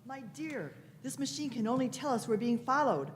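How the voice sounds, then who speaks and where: A woman's voice: a little high, lively intonation, moderate pace, slight background noise, natural voice. A woman playing a testy, patronising elderly time traveler in a sci-fi radio play, fussy and condescending. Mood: musing. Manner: fussy, condescending, repeats phrases.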